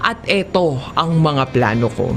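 A woman with a Filipino accent narrating a comedic first-person story in an expressive, giggly, conversational way. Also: deep voice, measured pace, noisy background.

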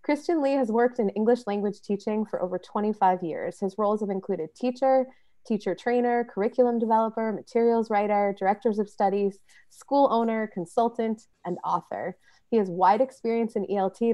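A woman's voice: mid-range voice, a little fast, warm tone. A woman hosting a webinar over a video call, welcoming attendees and covering housekeeping in a chatty way. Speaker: webinar host, marketing manager.

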